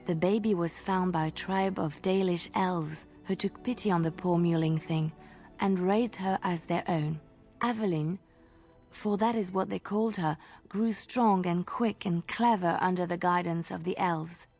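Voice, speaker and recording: An actress playing a gentle, earnest bard in a fantasy video game, telling tales in a measured, lyrical way. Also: female voice, on the low side, soft background music. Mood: earnest storytelling. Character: Orlesian bard woman, storyteller, gentle and earnest.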